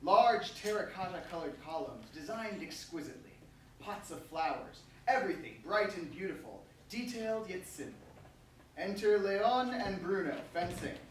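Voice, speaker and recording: A man's voice: high-pitched, animated, moderate pace. A man reading stage directions as scripted narration for a staged play reading. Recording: echoey room, distant mic, faint noise.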